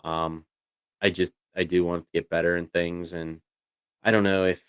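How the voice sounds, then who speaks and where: A man's voice: deep voice, flat delivery, normal speed, fairly bright tone, clean tone, no echo. A man chatting casually as a livestream co-host, talking in a rambling way with lots of "you know"s. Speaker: co-host of a voice tech show.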